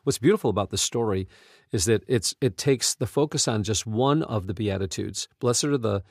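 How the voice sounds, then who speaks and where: A man hosting a devotional talk, retelling stories and quoting scripture in an earnest, emphatic, deliberate way. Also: on the low side, darkish tone, no room sound, studio quality. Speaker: podcast host and Bible teacher.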